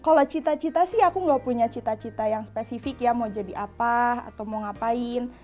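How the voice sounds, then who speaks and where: A young woman in her early twenties chats easily through her answers in a slightly high voice. Her tone is casual and unhurried. Faint music runs underneath. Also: a little mellow. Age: young adult. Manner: easygoing, chatty. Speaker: interviewee, graphic designer.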